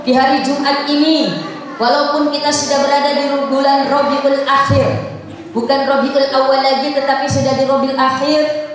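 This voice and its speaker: A woman from West Java with a Sundanese accent, preaching a lively sermon and playfully quizzing her audience. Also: sits high, slight background noise. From West Java, Indonesia. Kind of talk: lively sermon with Arabic chanting. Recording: echoey hall, distant mic, crowd.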